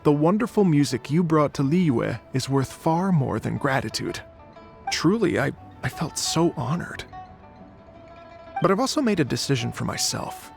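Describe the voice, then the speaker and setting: A man's voice: on the high side, big pitch swings, moderate pace, creaky, faint music behind. A man voicing an earnest, effusive musician in a video game, polite and theatrical. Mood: earnest, hopeful. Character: effusive, earnest male musician from abroad, sincere idealist.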